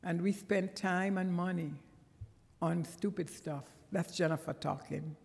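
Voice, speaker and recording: A woman's voice: on the low side, fairly even intonation, measured pace, creaky, a little mellow. A woman from Trinidad with a Trinidadian accent, preaching a conversational sermon as a guest in a church, with earnest, emphatic bursts. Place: church sanctuary. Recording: long church reverb.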